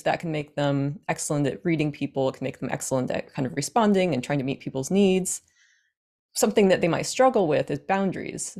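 A woman's voice: sits low, warm tone. A woman in her thirties, a coach, explaining her field in an interview over a video call from her living room, using everyday comparisons. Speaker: attachment coach, guest. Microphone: webcam on a video call.

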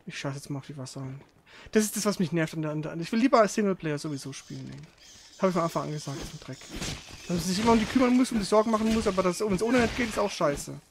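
A man's voice: sits high, expressive, very fast, slight background noise. A man commenting casually on a video game as he plays, grumbling and swearing in frustration.

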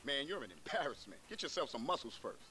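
An actor playing a gruff, scornful boxing trainer in a video game. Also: male voice, medium pitch, very animated, fast talker, pushed and bright, faint music behind.

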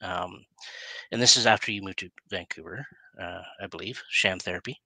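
A middle-aged man hosting a casual interview over a headset, with halting, hesitant phrasing. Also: deep voice, sharp tone, no echo, poor audio. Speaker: interview host. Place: indoor room. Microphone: headset on a video call.

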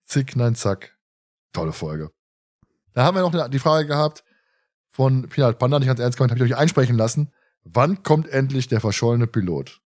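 A man hosting a casual fan podcast, chatting in an animated, joking way. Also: medium pitch, moderate pace. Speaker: podcast host.

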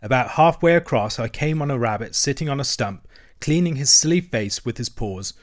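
A man's voice: mid-range voice, lively intonation, normal speed, natural voice. A man voicing a cheerful, adventurous otter who tells his tale in a hearty, vivid storybook manner. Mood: cheerful, animated.

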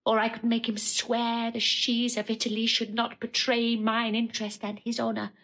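Proud, lovelorn young princess, breathy and rough-edged, higher register, intense classical delivery. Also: female voice, monotone, moderate pace, a bit bright. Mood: yearning. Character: lovelorn young princess, proud, passionate, grieving her exiled husband. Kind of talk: classical Shakespearean audio drama acting.

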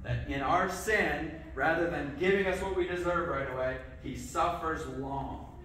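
Pastor preaching from across a church. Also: male voice, on the high side, unhurried, slight background noise. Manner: lively, asks the kids questions, says okay often. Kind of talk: children's sermon. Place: church sanctuary with congregation. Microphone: far camera. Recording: echoey church from far off.

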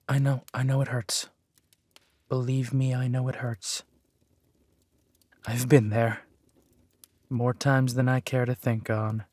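An actor playing a protective villainous duke, tender and soothing, in an intimate audio roleplay. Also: male voice, medium pitch, slightly rough, no echo. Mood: tender, concerned. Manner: gentle, soothing, unhurried.

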